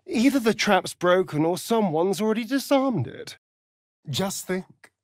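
A man's voice: high voice, lively intonation, a little slow, no echo, studio quality. A man with an English accent playing a vain, sardonic vampire rogue in a video game, with an arch, mocking, drawling delivery. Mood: musing. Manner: arch, drawling, mocking, swinging between purr and outburst. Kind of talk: video game character voice lines.

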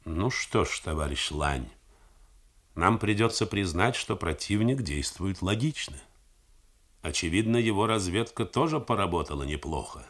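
A man reading the part of a calm, deliberate army general who reasons things through steadily. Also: low-pitched. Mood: reasoning. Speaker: army commander.

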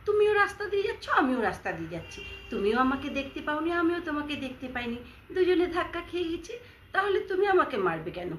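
A small sewing needle pleads and reasons in a raised, lively voice, as a woman narrator puts her on. She sounds calm and humble. The room has a clear echo. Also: sits high, fairly quick, a little hiss or hum, pitched above the performer's own voice. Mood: pleading. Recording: echoey room.

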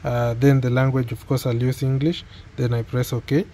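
Male tutorial presenter, Kenyan accent, mid-pitched, hesitant unscripted delivery, faintly noisy phone capture. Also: little pitch movement, moderate pace, dark tone. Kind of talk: unscripted step-by-step tech tutorial.

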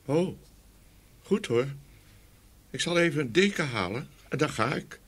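A man's voice: fairly high pitch, animated, measured pace, fairly bright tone. A man voicing a simple, good-natured young peasant son in an audio fairy tale, guileless and agreeable. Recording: faint ambient noise behind voice.

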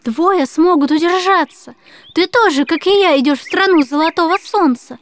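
Very high-voiced chirpy girl. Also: moderate pace. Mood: cheerful, eager. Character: friendly, chirpy young girl traveller, eager to team up. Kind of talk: children's puppet cartoon voice acting.

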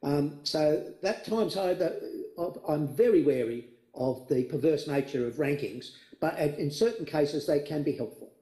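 A man's voice: on the high side, a little slow, hardly any pauses, warm tone. An older man speaking as keynote speaker over a video call from his home study, thoughtful and full of restarts. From Australia. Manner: thoughtful, many restarts and repeated words. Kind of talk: answering questions in an online forum. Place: home study with bookshelves, indoors. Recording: roomy video call audio.

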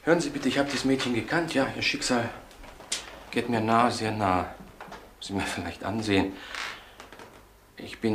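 An actor playing an evasive, indignant doctor under suspicion in a German crime radio drama, hesitant and repeating himself. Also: male voice, on the low side, lightly acted. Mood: solemn, halting. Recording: roomy echo with faint background noise.

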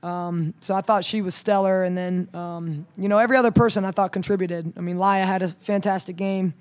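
A woman in her thirties, a head coach, talking through a game at a postgame press conference in a measured way, with many ums and restarts, into a table mic. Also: lowish voice, steady tone, a little mellow. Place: press room in front of a logo backdrop. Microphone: table mic on a stand.

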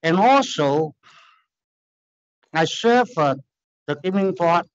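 Middle-aged Chinese-accented man pleading his case at a lectern, slow and earnest, muffled sound. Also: sits high, lively intonation. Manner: halting non-native phrasing, earnest and insistent. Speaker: applicant appealing a denial. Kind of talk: formal hearing testimony. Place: large hearing room, standing at a lectern.

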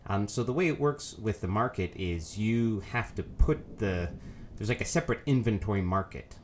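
Low-voiced guy with a cold, sounds nasally, rambling game commentary w lots of ums, faint hiss behind. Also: animated, moderate pace. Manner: rambling, many ums and self-corrections. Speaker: let's play host. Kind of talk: casual gameplay commentary.

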